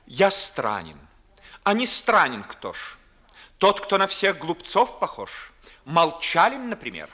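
Young nobleman, sharp-witted and lovesick, bright forceful tone, highish pitch, theatrical 1950s verse declamation. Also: huge pitch swings, slow pace, exaggerated voice. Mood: wounded, sarcastic. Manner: declamatory, impassioned, theatrical delivery. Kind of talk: 1950s Russian classical stage acting in verse.

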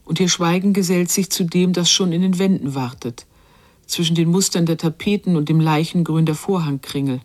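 An actress as the observant narrator of a literary radio drama, telling a child's story in a measured way. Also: female voice, lowish voice, natural voice.

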